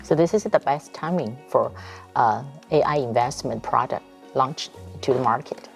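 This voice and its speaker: A woman with a Taiwanese accent hosting a broadcast interview, speaking deliberately in short phrases. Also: very deep voice, creaky, faint music behind. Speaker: television interview host. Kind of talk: broadcast interview, switching between Mandarin and English.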